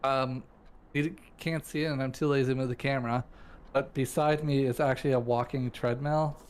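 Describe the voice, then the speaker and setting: A man's voice: medium pitch, steady tone, fairly quick. A man who streams, chatting casually and joking around with a friend, swearing freely, from his streaming room at home. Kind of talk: casual live-stream chat.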